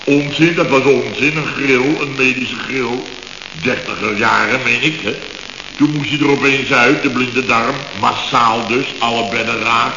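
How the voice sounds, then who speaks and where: A man's voice: medium pitch, fairly even intonation, a little slow, lightly acted. A man playing a blustering, impatient company boss in a Dutch radio comedy from around 1970, talking in clipped, exclamatory fragments. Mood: grumbling, emphatic. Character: blustering, impatient male boss of building firm. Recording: echoey, dull, noisy old radio recording.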